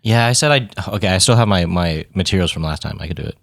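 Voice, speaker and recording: A man in his thirties speaks in a very deep voice, close and dry. He talks fast on a casual podcast and tosses in quick jokes. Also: lively intonation, gravelly, dry recording. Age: 30s. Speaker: podcast co-host. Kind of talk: casual chat.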